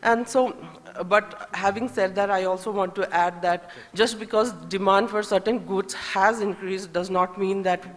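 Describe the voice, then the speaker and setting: A woman from Nepal with a Nepali accent, speaking as a conference panelist in a hesitant, halting way, thinking aloud as she makes her points. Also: mid-range voice, fairly even intonation. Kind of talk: semi-spontaneous panel remarks on trade policy. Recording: echoey hall with long reverb.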